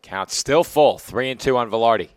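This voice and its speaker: A young man with a hoarse, raspy voice, calling baseball play-by-play pitch by pitch. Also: normal speed, dry recording. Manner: steady, calls each pitch and count. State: hoarse, losing his voice. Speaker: play-by-play announcer.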